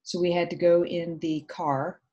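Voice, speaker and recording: A middle-aged woman from Vietnam, Vietnamese her first language, telling her story thoughtfully in a video call interview with many pauses. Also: on the low side, level tone, a little mellow, lo-fi sound. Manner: reflective, hesitant with many fillers. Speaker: guest. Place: home room indoors. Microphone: video call webcam.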